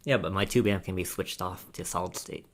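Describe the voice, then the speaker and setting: A man with a low voice talks in a casual roundtable discussion. He speaks as a reviewer on the panel and often chuckles between his points. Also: brisk. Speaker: audio gear reviewer and podcast panelist.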